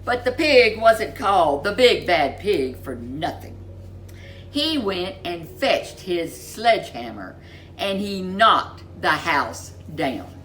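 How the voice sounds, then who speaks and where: A woman reading a children's story aloud as host, with expressive narration and dramatic, drawn-out emphasis on key words. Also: lowish voice, slight background noise.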